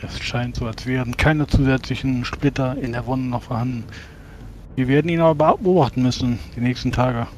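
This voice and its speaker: A man with a mid-pitched voice plays a tabletop role-playing game in character. He words things formally and carefully. Small hesitant pauses break up his measured delivery. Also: darkish tone, slight background noise.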